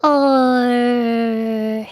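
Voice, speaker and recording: A woman's voice: fairly high pitch, steady tone, drawn-out words, a little mellow, dry recording. A woman game streamer chatting to herself and reading on-screen text aloud as she plays. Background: game music.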